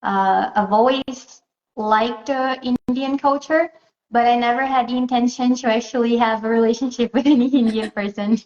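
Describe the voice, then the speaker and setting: A woman from Southeast Brazil, answering interview questions in a hesitant way and pausing often to find her words. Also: on the high side, steady tone, darkish tone. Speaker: interview guest. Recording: echoey, muffled sound.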